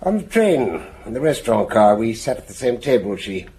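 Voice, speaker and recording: A man's voice: mid-range voice, very animated, brisk, mellow, a little hiss or hum, lightly acted. An actor playing a haughty, guarded, middle-aged provincial businessman in a radio drama, speaking in a stiff, clipped, evasive way. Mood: reserved. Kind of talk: BBC radio drama acting.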